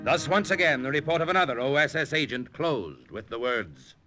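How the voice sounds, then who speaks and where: A man's voice: medium pitch, animated, fairly quick, pushed and bright, faint music behind. A man giving a formal, dramatic scripted announcement in 1940s radio style.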